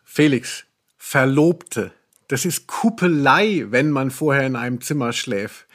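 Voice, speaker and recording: Mock-erudite male podcaster, medium pitch. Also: lively intonation, a little slow, a bit bright. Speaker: podcast co-host, pop journalist. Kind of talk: casual ironic podcast discussion.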